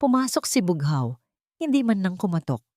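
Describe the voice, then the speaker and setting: A woman narrates a dramatic story in a fairly low voice. Her delivery swings widely in pitch from phrase to phrase, and the sound is close and dry. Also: big pitch swings, moderate pace, a little mellow, dry recording.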